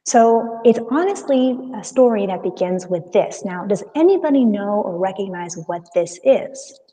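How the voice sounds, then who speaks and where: A young woman with a Californian accent speaks as a guest presenter to school classes. Her delivery is informal and enthusiastic, with youthful, casual phrasing, at a medium pitch for a woman. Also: expressive, normal speed, warm tone, poor audio. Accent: American, Californian. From California, United States. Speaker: guest speaker, explorer and storyteller. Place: live video call with screen sharing.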